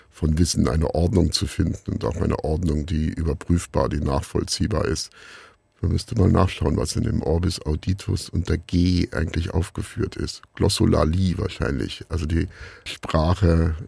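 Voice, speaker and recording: A middle-aged man giving reflective answers as an interview guest, musing and haltingly searching for words. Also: low voice, creaky, dark tone, breathy edge, no echo. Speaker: interview guest, radio play maker.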